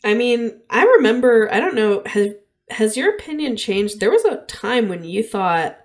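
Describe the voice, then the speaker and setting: A woman co-hosting a podcast in casual chat, husky and a bit sick, chatty and opinionated, laughing often. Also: fairly high pitch, big pitch swings, moderate pace. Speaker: podcast co-host.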